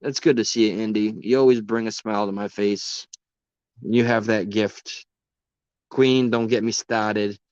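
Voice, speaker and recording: Hoarse, ranting livestream host man. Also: fairly low pitch, steady tone, unhurried, darkish tone, dry recording, lo-fi sound. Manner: rambling, passionate, swears often. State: voice worn from talking. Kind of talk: casual livestream talk to chat.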